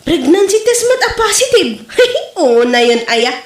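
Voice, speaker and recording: Woman acting a high-voiced, nosy Ilocano village gossip. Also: sing-song, unhurried, full character voice. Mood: gleeful gossiping. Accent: Ilocano Filipino. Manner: gossipy, mocking. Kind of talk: Ilocano comedy audio drama. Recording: roomy, echoing audio.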